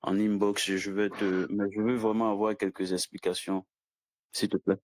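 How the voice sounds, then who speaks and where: A man's voice: lowish voice, level tone, normal speed, a little mellow, dry recording. A man calling in to ask a hesitant question, full of fillers. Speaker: caller asking a question.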